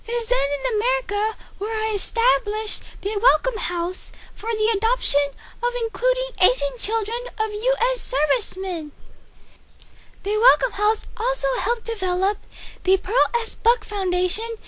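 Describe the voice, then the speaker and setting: A woman's voice: very high voice, normal speed. A teenage girl voicing a woman author who recounts her life in a plainly read school report. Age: teens. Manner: plain, steady reading of a report. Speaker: student. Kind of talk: school project doll play.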